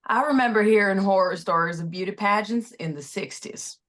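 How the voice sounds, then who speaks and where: A woman acts out a worrying Southern mother in her forties. She is a loud former beauty queen with a mid-range voice, performed for a script read. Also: medium pitch, brisk, lightly acted. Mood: fretful. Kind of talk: screenplay table read acting.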